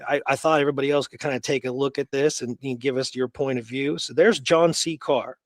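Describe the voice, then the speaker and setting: A man's voice: medium pitch, steady tone, brisk, no echo, studio quality. A man co-hosting a casual history talk, going through research notes conversationally and often checking that his listener follows. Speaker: co-host presenting historical research.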